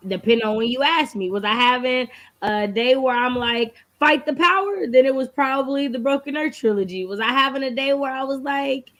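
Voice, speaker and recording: A woman with an American accent hosting a book readalong stream in an animated, colloquial, emphatic way. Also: on the high side. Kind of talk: casual livestream chat.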